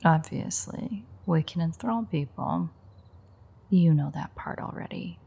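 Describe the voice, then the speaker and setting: A woman playing a teasing, sardonic vampire in an intimate audio roleplay, with a wry, foul-mouthed manner and a breathy, husky voice. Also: on the low side, measured pace, warm tone, full character voice. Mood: teasing, explaining.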